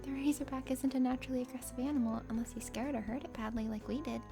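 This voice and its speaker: A woman acts out a brave, earnest young swordswoman from a fantasy dating game. The voice is high and eager, easily flustered. Music plays clearly behind it. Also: steady tone, very fast.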